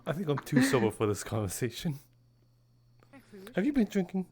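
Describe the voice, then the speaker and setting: A man's voice: fairly high pitch, wildly swooping pitch, moderate pace, a little mellow. A man doing improvised roleplay chat in a teasing, wry way, as a streamer in character. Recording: music playing behind the voice. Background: music.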